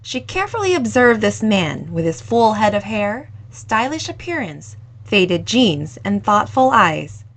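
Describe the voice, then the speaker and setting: Soft-spoken woman reading a story. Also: mid-range voice, very animated, measured pace, few pauses, rough recording. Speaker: audiobook reader. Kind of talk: scripted narration of a short story.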